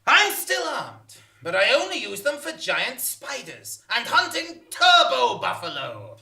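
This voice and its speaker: A man playing a weary, evasive retired space gunslinger in a comic sci-fi western on stage, halting and dramatic. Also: very high-pitched, wildly swooping pitch, gravelly, pushed and bright, full character voice. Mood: evasive, dismissive. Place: theatre stage in front of an audience.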